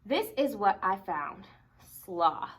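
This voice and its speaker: A woman reading a children's picture book aloud expressively, with dramatic emphasis and deliberate pauses. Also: mid-range voice, big pitch swings, husky. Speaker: storybook reader.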